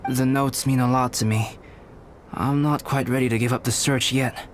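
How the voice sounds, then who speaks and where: A man voicing an aloof, philosophical young scholar in a video game, with a measured, formal, detached manner. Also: mid-range voice, steady tone, slight background noise, natural voice. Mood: detached, pensive. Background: game music.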